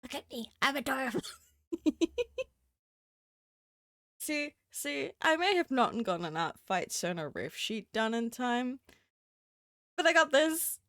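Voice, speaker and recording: A woman's voice: high voice, sing-song, unhurried, pauses often, fairly bright tone, no room sound, studio quality. A woman streaming live, chatting casually with many fillers and giggles, a bit sniffly from a cold. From Southern Hemisphere. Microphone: desk microphone.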